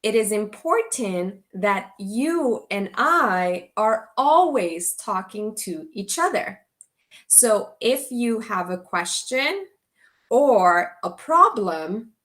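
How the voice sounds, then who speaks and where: Slow, careful woman teaching English online. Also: on the high side, a bit bright. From Guatemala. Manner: slow, deliberate delivery for language learners. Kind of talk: scripted course orientation.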